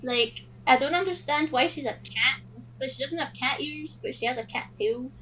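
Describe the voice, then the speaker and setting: A woman co-hosting a podcast in casual chat, telling things in an expressive, giggly way. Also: sits high, moderate pace, a little hiss or hum, poor audio. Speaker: podcast co-host.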